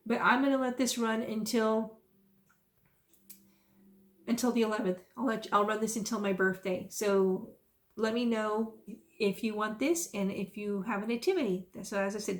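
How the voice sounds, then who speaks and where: A woman in her fifties chats casually to her viewers. She rambles warmly from one thought to the next with folksy, self-amused asides. Her voice sits at an ordinary middle pitch. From South Texas. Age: 50s. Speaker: stitching vlogger. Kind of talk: casual chatty vlog.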